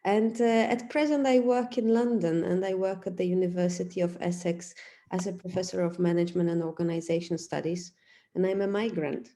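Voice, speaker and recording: A woman from Poland with a Polish accent, a management professor introducing herself in a measured way with pauses. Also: lowish voice, fairly even intonation, warm tone. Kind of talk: self-introduction on a webinar.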